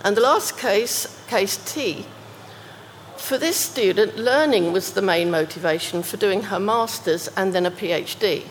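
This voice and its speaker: A woman giving an academic talk on research case studies, speaking in a measured way and reading quoted passages aloud. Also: medium pitch, in a large hall, slight background noise, poor audio. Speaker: academic presenter.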